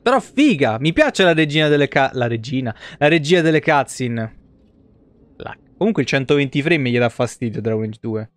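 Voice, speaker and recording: A man's voice: medium pitch, expressive, normal speed, no room sound. Dry-sounding, foul-mouthed Italian man. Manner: informal, heavily profane. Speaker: game streamer. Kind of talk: casual live gameplay commentary with asides to chat.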